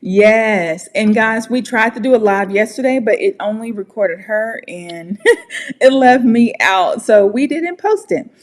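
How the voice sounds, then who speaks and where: A woman's voice: on the high side, a little mellow. An American woman teaching English pronunciation in a casual online lesson, friendly and encouraging. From United States. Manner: friendly, encouraging, slow and deliberate.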